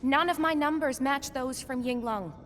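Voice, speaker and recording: A brisk female lab researcher in a game, blunt and frustrated. Her voice is on the high side, echoing in a big space with slight noise. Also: a bit bright. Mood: frustrated, concerned. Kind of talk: video game voice acting. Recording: long hall reverb, faint lab noise.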